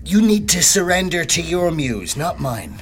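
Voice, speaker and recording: A man's voice: on the high side, big pitch swings, unhurried, a bit bright. A weary but kindly poetry teacher in a radio play. He coaxes and repeats himself as he shepherds his performers. Faint music runs underneath. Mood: reassuring, weary. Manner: coaxing, hesitant repetitions. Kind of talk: radio drama acting.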